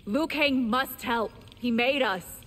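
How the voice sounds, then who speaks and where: An actress voicing a proud, defiant immortal vampire in a video game, combative and indignant. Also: female voice, fairly high pitch, takes it slowly, fairly bright tone. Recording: clear background noise.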